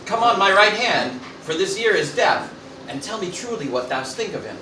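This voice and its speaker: A man playing a wary, foolish, self-important cartoon father as a Roman ruler, halting and pompous in an amateur Shakespeare parody. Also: high voice, big pitch swings, pushed and bright, full character voice. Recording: roomy, distant mic, noisy room.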